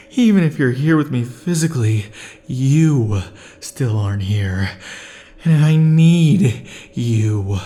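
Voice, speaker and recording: A man voicing a clingy, possessive immortal male lover in an ASMR roleplay, murmuring softly with sighs. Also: medium pitch. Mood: pleading. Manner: soft, sighing, lingering murmur.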